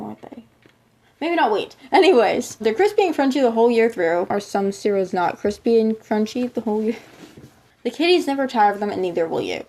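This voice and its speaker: A woman's voice: medium pitch, mellow. A woman hosting a casual explainer and reaction video, chatting to viewers with conversational asides. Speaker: host of a reaction show.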